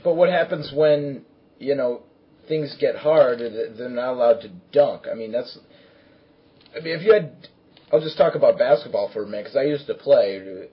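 Very husky, blunt man on lo-fi audio. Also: mid-range voice, moderate pace. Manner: coarse, blunt, profane. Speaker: talk show participant. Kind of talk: casual opinionated talk. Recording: dull lo-fi audio.

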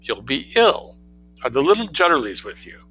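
A man's voice: mid-range voice, sing-song, moderate pace, bright, forceful tone, breathy edge, voice pushed up, full character voice. A man voicing an anxious well-to-do mother who scolds in a shocked way. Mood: alarmed.

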